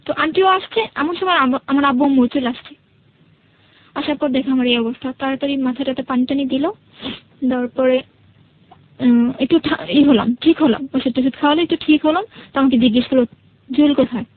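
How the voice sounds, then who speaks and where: A woman with a notably high voice and a Bangladeshi accent tells a personal story as a radio guest. She speaks colloquially and haltingly, often circling back over her own phrases. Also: phone-quality sound. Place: radio studio.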